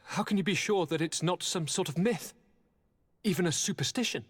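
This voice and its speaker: An actor playing a sick young nobleman governor in a video game, formal, theatrical and pleading, with a breathy, suffering delivery. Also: sits high, normal speed. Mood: grave. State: suffering, weakened by sickness. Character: ailing young nobleman governor, courteous, anguished, devoted. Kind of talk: dramatic video game acting. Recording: long hall-like reverb.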